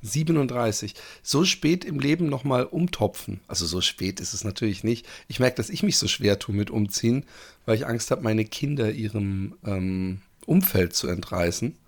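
A man's voice: on the low side, expressive, normal speed. Curious, hesitant male podcast host. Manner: curious, hesitant questions with many restarts. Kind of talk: casual podcast interview.

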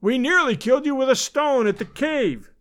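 Man playing a high, gloating, vengeful villain. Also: very animated, fairly bright tone, full character voice. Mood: vengeful, triumphant. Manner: taunting, melodramatic, drawn-out delivery.

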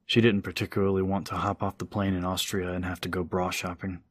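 A man's voice: deep voice, flat delivery, quick pace, no echo, studio quality. A man narrating a scripted horror story as an audiobook narrator. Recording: music under the voice. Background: music.

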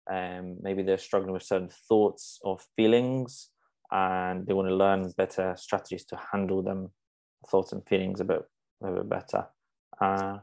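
A man's voice: low voice, darkish tone. A young man from Poland, a Polish native speaker, talking as a sports psychologist guest on a podcast, thoughtful and hesitant with many fillers and restarts. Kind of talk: casual podcast interview.

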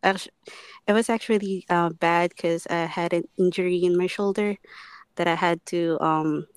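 A woman's voice: lowish voice, fairly even intonation, normal speed, clean tone, no echo. A woman, calling in hesitantly to share a personal problem. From San Francisco. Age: 20s. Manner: hesitant, many fillers. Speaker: caller.

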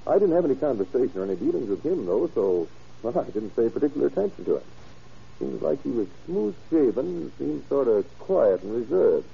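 Small-town banker, chatty and obliging, very lively pitch, hesitant recall; vintage radio drama, muffled recording. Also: male voice, a little fast, gravelly, breathy edge, full character voice. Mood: musing, obliging. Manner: hesitant, thinking aloud as he recalls. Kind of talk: old radio drama acting.

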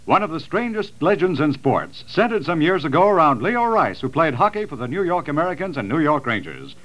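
A man's voice: fairly high pitch, sing-song, fairly quick, a bit bright, rough recording. A man delivering scripted, dramatic sports storytelling in old radio style.

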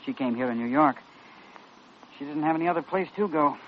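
An actor playing a proud, troubled young American intern, clipped and earnest, in an old-time radio drama. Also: male voice, on the high side, a little fast, close to an everyday voice. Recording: dull, noisy old radio recording.

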